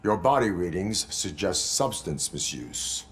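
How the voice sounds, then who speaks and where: An actor playing a stern, unflappable cyborg police officer in a video game, speaking in formal, deadpan, clipped commands. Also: male voice, low-pitched, unhurried.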